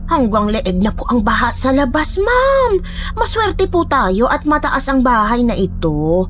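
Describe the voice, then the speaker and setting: Woman as polite Filipina nanny, horror audio drama, deferential, very high voice, animated intonation, light music. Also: a little slow. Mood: coaxing. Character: polite, deferential, eerily puzzled female household nanny.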